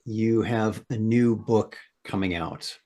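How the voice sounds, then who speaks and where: A man's voice: on the low side, takes it slowly, a little mellow. A man hosting a mindfulness podcast, introducing and questioning his guest in a friendly, conversational way. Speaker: podcast host. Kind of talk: podcast interview.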